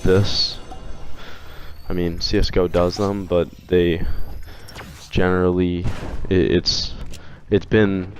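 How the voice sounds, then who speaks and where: A young man with a hoarse voice from being sick, rambling through a casual opinion vlog with frequent restarts. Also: low-pitched, level tone, slow, a little hiss or hum. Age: young adult. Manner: rambling, hesitant, many restarts. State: hoarse from illness. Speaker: video game commentator.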